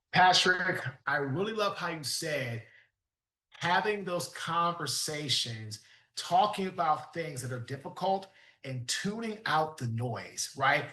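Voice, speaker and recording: Animated, emphatic podcast host. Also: male voice, on the high side, roomy sound. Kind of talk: podcast interview with ad read.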